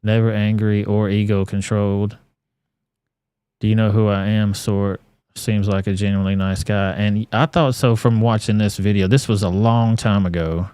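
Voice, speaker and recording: Deep, dark-voiced blunt commentator. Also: male voice, flat delivery, normal speed, clean tone, dry recording. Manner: blunt, profane, offhand. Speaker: talk show host. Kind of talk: commentary on clips. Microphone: studio mic.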